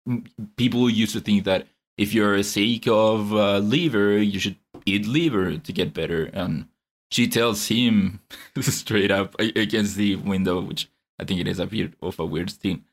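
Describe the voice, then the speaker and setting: Young man, podcast co-host, somewhat low voice, earnest, explains at length. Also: fairly even intonation, measured pace. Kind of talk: casual review chat.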